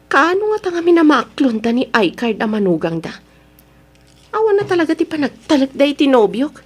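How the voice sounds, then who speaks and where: High-pitched, distraught young Ilocano mother acted by a woman. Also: sing-song, fairly quick. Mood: stubborn, defensive. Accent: Ilocano Filipino. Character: distraught young mother, trusting then anguished over her child. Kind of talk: Ilocano radio drama acting.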